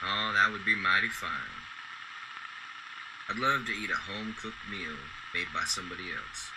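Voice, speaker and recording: An actor playing a kindly, loyal old garbage man in a radio drama, with a gentle, folksy, polite way of talking. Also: on the low side, moderate pace, close to an everyday voice. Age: older. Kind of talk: golden-age radio drama acting. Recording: clearly noisy background.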